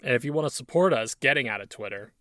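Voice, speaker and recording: A man's voice: mid-range voice, lively intonation, fast talker, bright, forceful tone, no room sound, studio quality. A man co-hosting a gaming podcast, talking informally and enthusiastically in rambling asides. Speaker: podcast co-host. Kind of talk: casual gaming podcast chat.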